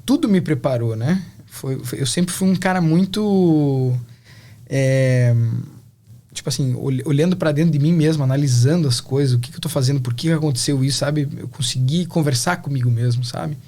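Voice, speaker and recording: Chatty Brazilian man, slightly high voice. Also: moderate pace, mellow. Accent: Brazilian Portuguese. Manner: reflective, chatty, many fillers. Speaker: interview guest. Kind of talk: casual conversational interview.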